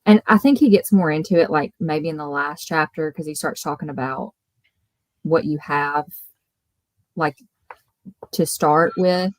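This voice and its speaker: A woman's voice: low voice, measured pace, long pauses, a little mellow, no echo. A woman taking part in a casual book discussion, speaking informally and tentatively as she thinks aloud.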